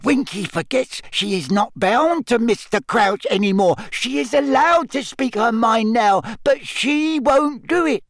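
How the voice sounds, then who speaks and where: A man's voice: very high-pitched, animated, unhurried, hardly any pauses, no echo, clean studio sound, pitched above the performer's own voice, heavily acted. A man voicing a small male house-elf for an audiobook, eager, loyal and nervous, speaking in servile, broken grammar. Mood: earnest. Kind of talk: audiobook character acting.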